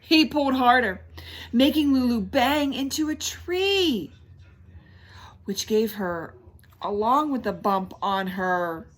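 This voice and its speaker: A woman reading a children's book aloud in an animated storytelling style, pausing like a teacher to prompt listeners with questions and stressing key words. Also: a little high. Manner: instructive, prompting, emphatic repetition of key words.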